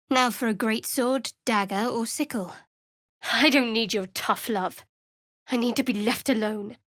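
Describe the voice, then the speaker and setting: A woman playing a guarded, sardonic young woman cleric of a dark goddess in a video game, with a dry manner. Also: on the high side, no echo. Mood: tense, bitter. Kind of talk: video game character voice acting.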